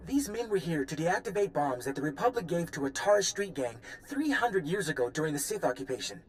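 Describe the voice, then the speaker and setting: An actor playing an anxious, harried Republic official in a video game, earnest and full of worried complaint. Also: male voice, fairly high pitch, very animated, brisk, poor audio. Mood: frustrated, anxious. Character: frazzled, self-pitying male Republic official, anxious and pleading. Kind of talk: video game character acting.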